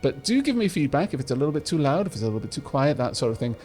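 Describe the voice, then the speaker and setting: A man hosting a let's play, chatting casually and explaining game mechanics with a slight rumble in his voice. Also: sing-song, rapid-fire, music in the background. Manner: chatty, explanatory, frequent restarts and fillers. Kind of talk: casual gameplay commentary.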